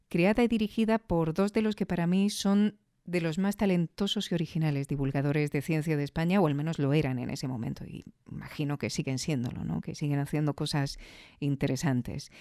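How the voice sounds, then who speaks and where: A woman from Spain with a Spanish accent, talking reflectively and conversationally as a podcast host, pausing thoughtfully for emphasis. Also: low-pitched, dark tone, no echo, clean studio sound. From Granada, Spain.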